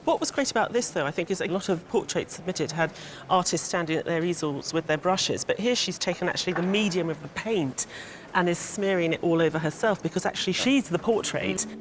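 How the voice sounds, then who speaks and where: A man's voice: high-pitched, a little fast. A man judging portraits, speaking in a thoughtful, articulate way. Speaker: judge. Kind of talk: art critique.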